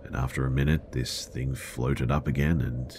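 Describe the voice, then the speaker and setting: A man's voice: a bass voice, level tone, moderate pace, noisy background. A man narrating first-person scary stories in a conversational style.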